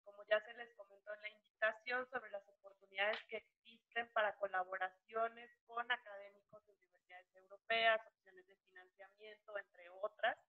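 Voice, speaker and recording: A woman's voice: mid-range voice, steady tone, normal speed, few pauses. A woman moderating a webinar, introducing the session politely and formally with filler words, over a video call. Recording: tinny phone-like video call audio.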